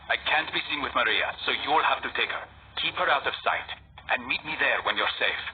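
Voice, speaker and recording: A man acting as a stoic, guarded young assassin in a video game, speaking in a measured, serious, terse way. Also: medium pitch, expressive. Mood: businesslike. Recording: tinny phone-like band, clear noise.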